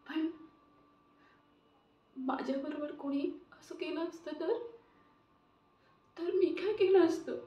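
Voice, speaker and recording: A woman acting an emotional audition monologue as a remorseful young woman, tearful and halting as she pleads for forgiveness. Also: high voice, mellow. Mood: guilty, distraught. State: crying. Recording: roomy, muffled, distant mic.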